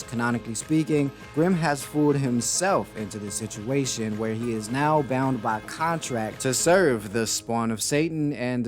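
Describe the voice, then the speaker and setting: A man giving casual video commentary on a cartoon, wry and joking in a conversational way. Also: mid-range voice. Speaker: YouTube commentator.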